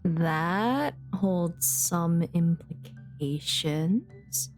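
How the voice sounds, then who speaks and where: A woman's voice: fairly low pitch, sing-song, drawn-out words, soft background music, low-quality recording. A woman streaming a game, giving casual live reactions in hesitant, musing asides.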